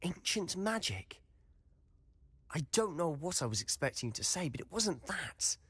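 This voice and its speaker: Curious British schoolboy wizard, lively intonation. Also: male voice, a little high, moderate pace, husky, full character voice. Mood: curious, probing. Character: confident, inquisitive teenage wizard schoolboy, loyal brother. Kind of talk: scripted video game dialogue.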